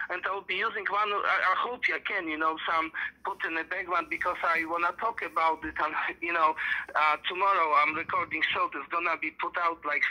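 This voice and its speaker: Excited Polish man, bright edgy voice. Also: fairly high pitch, poor audio. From Poland. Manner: excited, rambling, heavy use of "you know". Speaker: show host calling in. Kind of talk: casual phone chat. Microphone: phone call.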